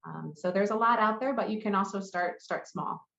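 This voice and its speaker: A woman's voice: mid-range voice, normal speed, creaky, fairly bright tone. A woman giving a business presentation and answering questions as a marketing lead. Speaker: conference presenter, head of customer marketing. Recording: roomy echo, slightly muffled sound.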